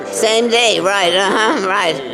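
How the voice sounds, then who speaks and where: A woman over a hundred years old with a Louisiana accent. She answers in short, agreeable phrases with frequent "uh-huh". Music plays clearly underneath her. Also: mid-range voice, slow. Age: 100s. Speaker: interviewee.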